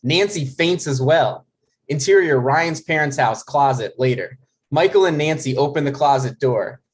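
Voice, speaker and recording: A man's voice: a little high, animated, normal speed. A man narrating the stage directions of a comedy script at a table read.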